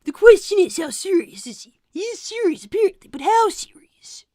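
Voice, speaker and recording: A young cartoon fox boy played by a woman, very high and animated. He is high-strung and earnest, quick to get exasperated. The sound is close and dry. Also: no echo. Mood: worried, matter-of-fact. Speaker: cartoon character co-hosting a livestream. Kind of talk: improvised in-character livestream chat.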